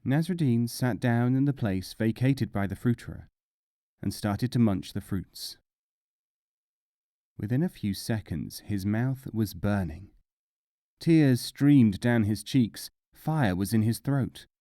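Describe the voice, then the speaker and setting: A man narrating short tales as an audiobook, with measured, deliberate phrasing and dramatic pauses. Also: on the low side, expressive, dark tone, no room sound, clean studio sound. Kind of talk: scripted storytelling narration.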